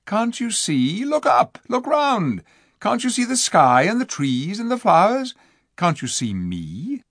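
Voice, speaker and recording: A man's voice: wildly swooping pitch, measured pace, fairly bright tone, no room sound, studio quality, exaggerated voice. A man voicing a tender-hearted young girl. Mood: pleading. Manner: raised pitch, pleading, earnest.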